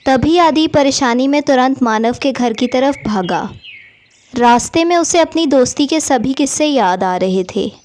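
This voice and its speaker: High-pitched woman narrating children's story. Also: lively intonation, unhurried, a little mellow.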